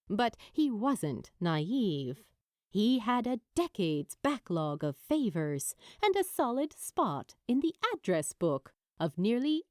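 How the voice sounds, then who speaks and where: A woman narrating fiction as a dramatic storyteller, in a measured, deliberate way with emphatic pauses. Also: medium pitch, a little mellow, dry recording, studio quality. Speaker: audiobook narrator.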